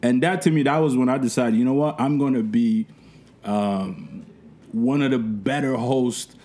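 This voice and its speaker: A young man with a deep, mellow voice talks loosely through his story, dropping slang and circling back on himself. His tone is dark and easy, and his speech is that of a seasoned event host chatting on a podcast. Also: a little slow. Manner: relaxed, rambling storytelling with slang. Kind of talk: casual podcast conversation.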